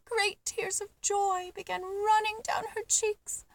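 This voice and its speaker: A woman reading a children's book aloud as an expressive storyteller. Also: very high-pitched, slow, no room sound. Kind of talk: expressive scripted narration.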